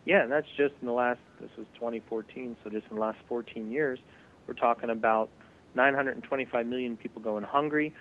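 Man on a tinny phone-like line. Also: mid-range voice. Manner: reels off statistics, measured. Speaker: co-host. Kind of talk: conversational broadcast discussion.

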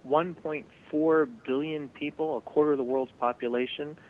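A man co-hosting a broadcast discussion, reeling off statistics in a measured way. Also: fairly high pitch, fairly even intonation. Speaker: co-host. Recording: tinny phone-like line with faint hum.

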